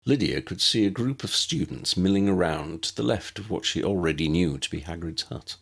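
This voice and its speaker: A man's voice: low voice, animated, normal speed, creaky, a bit bright, husky. A man reading scripted story narration as an audiobook narrator.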